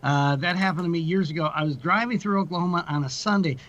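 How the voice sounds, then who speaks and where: A man's voice: fairly high pitch, fast talker, fairly bright tone. A man speaking casually as an interview guest, rambling in a folksy, wry way about his work. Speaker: guest, audio drama creator.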